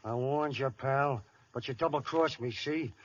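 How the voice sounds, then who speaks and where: An actor playing a tough, wisecracking gangster who talks in menacing slang in an old radio comedy. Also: male voice, medium pitch, a little slow, lightly acted. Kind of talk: 1930s radio comedy acting. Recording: dull old radio broadcast.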